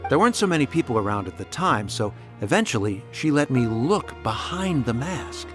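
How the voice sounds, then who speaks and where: Animated, earnest young soldier. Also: male voice, mid-range voice, moderate pace, faint music behind. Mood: recounting, intrigued. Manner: sincere, storytelling. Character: earnest, trusting young male island soldier. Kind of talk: video game character dialogue.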